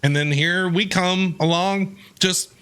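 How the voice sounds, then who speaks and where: A man's voice: high voice, fairly even intonation, slow, bright, forceful tone, clean tone. A man streaming himself playing a game, commenting over it in a casual, playful, joking way. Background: game music.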